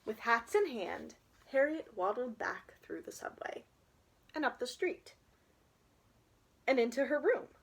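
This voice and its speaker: A young woman reads a picture book to children with chatty asides. Her voice is fairly high and very expressive, and she takes an unhurried pace. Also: gravelly, slightly rough, low-quality recording. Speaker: storybook reader.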